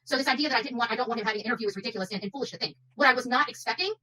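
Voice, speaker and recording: A woman's voice: fairly high pitch, rapid-fire, bright, forceful tone, a little hiss or hum. A woman doing unscripted livestream commentary, speaking emphatically and repeating phrases for stress.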